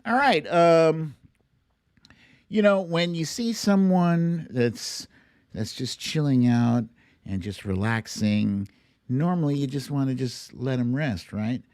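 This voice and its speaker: A man's voice: mid-range voice, animated, slow, a little mellow, no echo, studio quality. A man hosting a casual livestream, chatting and reacting to clips in a playful, theatrical way. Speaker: host of a live online show.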